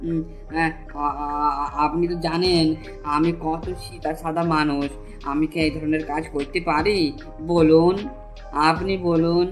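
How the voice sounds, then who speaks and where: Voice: mid-range voice, little pitch movement, measured pace, close to an everyday voice. An actor plays a greedy village man who bullies people in a cartoon folk tale. The voice is brash, insolent and sneering, with faint music behind it. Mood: wheedling.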